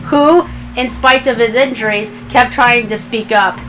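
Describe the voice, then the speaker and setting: A woman narrates a written story in a fairly high voice. She reads the scripted prose aloud as storyteller, with music clearly playing underneath. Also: unhurried, a bit bright, rough recording. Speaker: fan fiction reader, narrator.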